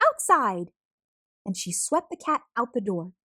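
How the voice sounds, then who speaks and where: A woman reading a children's picture book aloud as a storyteller, expressive and deliberate, drawing out words for emphasis. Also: mid-range voice, no room sound, clean studio sound. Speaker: storyteller, read-aloud host.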